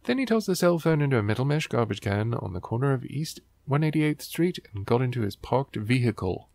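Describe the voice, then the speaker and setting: A man's voice: mid-range voice, very animated, brisk, creaky, a little mellow, dry recording. A man hosting a book riffing show, reading aloud and commenting in a sarcastic, wry, exasperated way.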